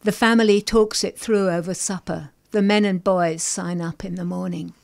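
A middle-aged woman from England, a poet guest on a radio show, reading her poems with deliberate care and talking about her work. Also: fairly low pitch, fairly even intonation, vocal fry, darkish tone. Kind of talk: poetry reading and interview.

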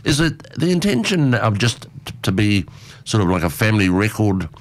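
Man, interview host, lively voice, quick follow-up questions. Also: lowish voice. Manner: lively, laughs often, asks short follow-up questions. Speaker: radio interviewer. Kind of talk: conversational interview.

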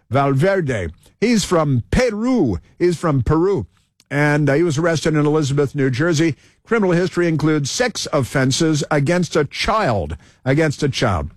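A man's voice: fairly high pitch, no room sound, clean studio sound. Sarcastic combative male commentator. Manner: sarcastic, combative, many fillers. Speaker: political commentary host. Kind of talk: monologue reading from a list.

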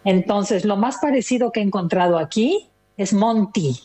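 A woman's voice: mid-range voice, lively intonation, normal speed, dark tone. An older woman with a Mexican accent, chatting casually and expressively as an interview guest, telling stories with quoted remarks. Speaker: interview guest, retired listener. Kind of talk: casual conversational storytelling.

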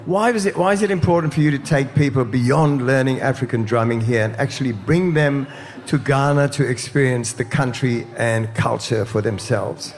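A man's voice: mid-range voice, normal speed. A man interviewing a guest on community radio, asking long questions and wrapping up in an upbeat, promotional tone.

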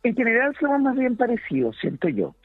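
A man's voice: extremely high pitch, wildly swooping pitch, slightly rough, dry recording. Chilean man in his fifties on a phone line. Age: 50s. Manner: halting, repeats words while searching. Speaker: guest comedian. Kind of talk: relaxed interview chat. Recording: dry tinny phone line.

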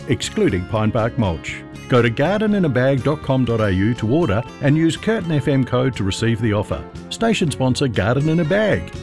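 A man's voice: mid-range voice, vocal fry, darkish tone. A man voicing a scripted radio advertisement with an upbeat, punchy sales delivery. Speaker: advertisement voice-over. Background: guitar music.

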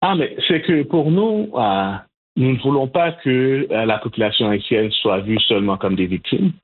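A man from Montreal, answering interview questions in a measured, hesitant way with frequent restarts. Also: medium pitch, lively intonation. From Montreal, Canada. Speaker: guest, Haitian community organizer. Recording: phone-line audio, husky.

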